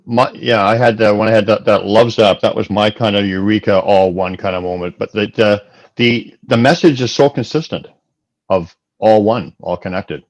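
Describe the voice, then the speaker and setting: A male host speaks in a relaxed conversational way. His voice sits a little low, and he keeps affirming the other speakers with frequent yeahs. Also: normal speed. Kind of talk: casual chat.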